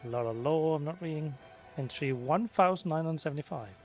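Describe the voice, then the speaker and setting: A man with a slightly higher-pitched voice talks casually through a video game. He mutters understated, offhand remarks while game music plays behind him. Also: a little fast. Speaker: gaming video creator. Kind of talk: casual low-key Let's Play commentary.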